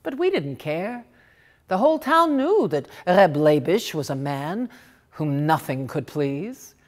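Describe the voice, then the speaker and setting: A woman reading a literary story aloud in an expressive, theatrical and deliberate manner. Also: low voice. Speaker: story reader.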